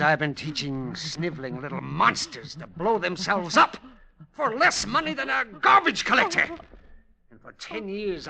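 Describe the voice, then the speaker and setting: An actor playing a middle-aged, henpecked schoolteacher and amateur scientist, nervous and eager, in an old radio drama. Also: male voice, sits high, gravelly, heavily acted. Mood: bitter, threatening. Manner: flustered, stammering, deferential. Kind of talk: 1940s-50s radio drama acting. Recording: noisy, crackly vintage radio recording.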